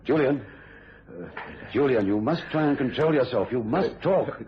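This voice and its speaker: An actor playing a coaxing, insistent doctor questioning a patient in an old radio thriller. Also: male voice, mid-range voice, animated, normal speed, lightly acted. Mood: urgent, coaxing. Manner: urgent, coaxing. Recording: muffled, faintly noisy old radio recording.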